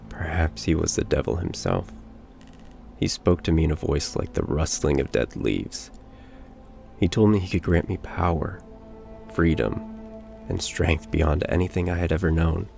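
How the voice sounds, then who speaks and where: A cursed, condemned young werewolf played by a male reader confesses in a very low, slow voice. He is bitter and resigned, grave as a storybook tragedy, with a gravelly creak. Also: darkish tone, full character voice.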